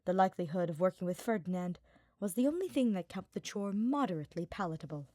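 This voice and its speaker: A woman's voice: lowish voice, lively intonation, fast, dark tone, dry recording, studio quality. A woman reading a fantasy story as scripted audiobook narration. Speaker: podfic reader.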